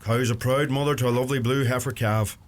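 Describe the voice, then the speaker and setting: An actor playing a kindly young farmer in a radio play, with a Donegal Irish accent. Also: male voice, medium pitch, fairly even intonation, quick pace, close to an everyday voice. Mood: plain, neighbourly. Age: young adult. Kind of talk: stage-style radio play acting. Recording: noisy radio play with ambience.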